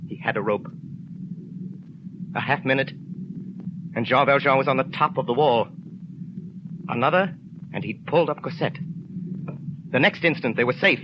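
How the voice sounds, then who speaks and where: Suspenseful male narrator, noisy old radio. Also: mid-range voice. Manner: deliberate, suspenseful phrasing. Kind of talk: dramatic 1930s radio scripted narration. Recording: dull, noisy 1930s radio recording.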